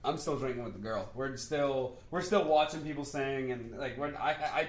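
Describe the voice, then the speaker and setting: A man's voice: medium pitch, moderate pace. A man chatting casually as a player at a tabletop game, describing what his character does. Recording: echoey room.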